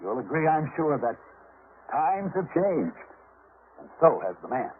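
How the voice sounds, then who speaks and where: A man announcing in a formal, measured, declamatory 1950s scripted narration style. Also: a little high, very animated. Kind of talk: 1950s scripted military public service narration. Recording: noisy, tinny old radio recording.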